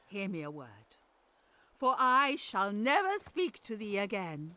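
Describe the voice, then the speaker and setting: A woman's voice: mid-range voice, very animated, unhurried, sharp tone, no echo. A bitter old royal mother. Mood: solemn cursing. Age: older. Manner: bitter, grieving, theatrical curses. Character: aged royal mother cursing her tyrant son. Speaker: actor. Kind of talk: Shakespearean audio drama.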